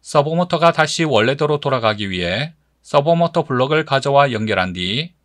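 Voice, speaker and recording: A man giving scripted tutorial narration as an instructor, explaining step by step in a measured manner. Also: mid-range voice, expressive, a bit bright, dry recording.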